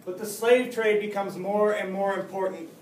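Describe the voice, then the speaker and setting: A man's voice: sits high, fairly even intonation, normal speed. A male history teacher lecturing casually, joking with his class and restarting often. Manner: joking, chatty, with many restarts. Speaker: high school history teacher. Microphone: camera far across the room. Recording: echoey classroom, faint hum, mic far off.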